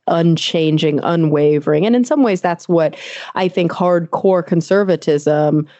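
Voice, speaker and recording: A young adult woman hosting a casual podcast interview, chatty and animated, laughing often and using fillers like "like" and "um". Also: low voice, measured pace, no room sound, muffled recording. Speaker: podcast host.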